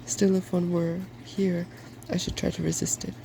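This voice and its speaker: A man as a solemn, soft, creaky dragon. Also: sits high, little pitch movement, moderate pace, noisy background. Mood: hopeful. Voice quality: soft-spoken. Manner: solemn, earnest, polite. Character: solemn, hopeful, meek male dragon. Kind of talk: ASMR storybook reading.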